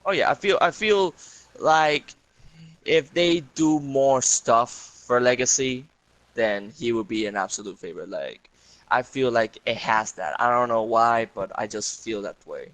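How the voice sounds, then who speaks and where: A man's voice: mid-range voice, unhurried, a bit bright, no echo, low-quality recording. Blunt Puerto Rican man, dry sound. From Puerto Rico. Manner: blunt and aggrieved, swears often, leans on "literally". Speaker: podcast guest. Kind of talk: casual interview chat.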